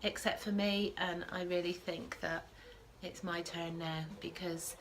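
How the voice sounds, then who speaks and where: A woman's voice: lowish voice, fairly even intonation, a little slow, a little hiss or hum. A woman hosting a casual knitting podcast from home, sniffling with a starting cold and rambling with frequent self-corrections. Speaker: podcast host.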